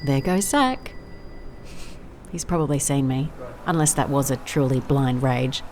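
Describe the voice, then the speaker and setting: A woman's voice: very deep voice, measured pace, noisy background. A woman playing a wry, observant private investigator in an audio drama, with a dry, conversational manner. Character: wry, observant female private investigator narrating her case. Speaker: lead actor in an audio drama. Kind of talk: audio drama case notes and dialogue.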